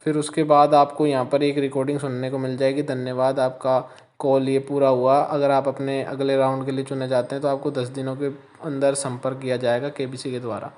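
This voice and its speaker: Flat-toned man explaining steps. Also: medium pitch, a little fast. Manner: plain step-by-step explaining. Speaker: tutorial video presenter. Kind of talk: explanatory voice-over tutorial.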